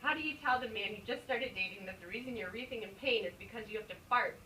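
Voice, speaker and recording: A woman giving a dramatic, comic reading of a personal story with an expressive, emphatic delivery, recorded on a phone in a room. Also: medium pitch, fast talker. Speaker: amateur reader performing for coworkers. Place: indoor room at a workplace gathering. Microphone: phone camera at some distance. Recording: echoey room, distant mic, faint noise.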